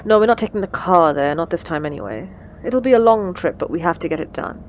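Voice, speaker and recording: A woman's voice: lowish voice, animated, fast, highs cut off, slight background noise, close to an everyday voice. A woman playing a wry, menacing supervillain in a scripted audio roleplay, teasing and condescending, with cool threats. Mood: businesslike. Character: wry, menacing female supervillain mentoring a captive assistant.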